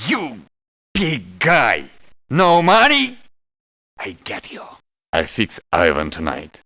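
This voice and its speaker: A man's voice: mid-range voice, wildly swooping pitch, slow pace, pushed and bright, no echo, studio quality, heavily acted. A man voicing a hostile, greedy video game mercenary who speaks blunt, broken English. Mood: greedy, threatening. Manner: broken English, blunt short phrases, contemptuous. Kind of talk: video game character voice lines.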